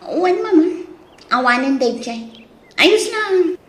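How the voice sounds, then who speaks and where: A woman's voice: extremely high pitch, animated, slow, exaggerated voice. A woman playing a headstrong young student wife in an Ilocano radio drama, insistent and emotive, with an Ilocano accent. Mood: dutiful. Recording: roomy, echoing drama sound.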